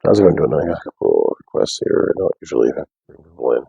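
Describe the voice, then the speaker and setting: A young man with a deep bass voice, talking softly and casually to viewers on a live stream. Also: expressive, fast talker, vocal fry, breathy edge, no echo, highs cut off. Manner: soft, unprojected speech. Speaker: livestream host. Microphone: wearing a mic.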